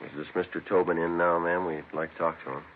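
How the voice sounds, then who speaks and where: A deep-voiced police detective played by a man in an old radio crime drama. He reports in clipped, deadpan fashion. The sound is narrow and muffled, like a 1950s broadcast. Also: quick pace, a little hiss or hum, natural voice. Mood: matter-of-fact. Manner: clipped, deadpan, matter-of-fact. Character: terse police detective narrating his own case.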